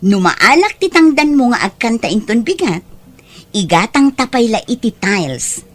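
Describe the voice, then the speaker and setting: A woman with a Filipino accent playing a greedy, scheming aunt in an audio drama, expressive and falsely soothing. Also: a little high, moderate pace. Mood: coaxing. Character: scheming greedy aunt exploiting her young singing nephew. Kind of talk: Ilocano audio drama acting.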